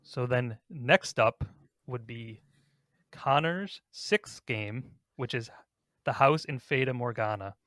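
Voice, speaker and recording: A man's voice: mid-range voice, slow pace, fairly bright tone, dry recording. Casual male podcast host, dry close sound. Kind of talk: casual countdown discussion.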